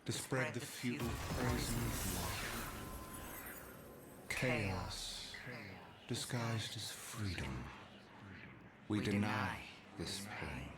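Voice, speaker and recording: A man's voice: fairly low pitch, heavy background noise. A man voicing an ancient, godlike game villain, cold, solemn and grandly condescending. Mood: cold, disdainful. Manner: slow, solemn, portentous. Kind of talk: scripted video game villain acting. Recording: loud game ambience behind voice.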